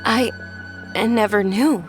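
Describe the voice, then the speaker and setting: An actress playing a gentle young noblewoman, a daughter, in a video game scene. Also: on the high side, slow pace, music in the background. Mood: surprised, moved. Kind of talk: scripted video game dialogue.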